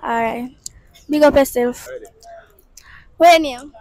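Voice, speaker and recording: A young Jamaican woman with a high, bright voice asks passersby questions in an upbeat, playful patois-tinged way. She holds a handheld mic out on a city street, and her voice comes through close and dry. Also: slow pace, dry recording. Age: young adult. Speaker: street interviewer. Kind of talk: casual street interviews.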